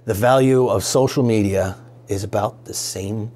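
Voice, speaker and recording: A man's voice: fairly low pitch, moderate pace, darkish tone. A man hosting a how-to video, narrating casually and musing aloud with rhetorical questions. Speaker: host of a radio restoration how-to video. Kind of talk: casual explanatory DIY narration.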